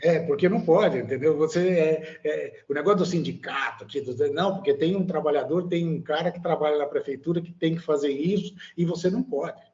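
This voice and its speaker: A man's voice: fairly high pitch, fairly quick, few pauses, a little mellow, muffled recording. A Brazilian man, a guest in a casual interview, talking hesitantly with many fillers and tag questions. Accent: Brazilian Portuguese. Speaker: guest, immigrant living in Italy.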